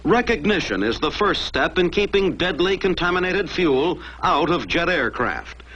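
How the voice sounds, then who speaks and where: A man's voice: fairly high pitch, sing-song, moderate pace, a bit bright. An American man narrating a mid-century military training film from a script in a dramatic, emphatic manner. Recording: dull lo-fi old film soundtrack.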